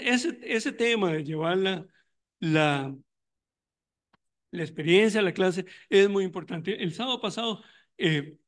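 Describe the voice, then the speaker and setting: A man giving a conference talk on education, thoughtful and reflective, with frequent restarts and fillers. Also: high-pitched. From Costa Rica. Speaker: panelist on migration in education; university educator running tutoring programs.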